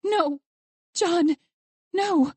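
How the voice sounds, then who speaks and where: A woman's voice: sits high, a little slow, mellow, no echo, voice pushed up. A woman playing a terrified captive wife in an audiobook thriller, breathless and desperate. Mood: horrified, grieving. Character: terrified, pleading young American wife held captive.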